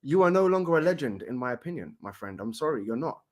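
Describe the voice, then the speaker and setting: Fast-talking man from Morocco. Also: mid-range voice, very animated. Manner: emphatic, argumentative. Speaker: panelist. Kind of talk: heated football debate.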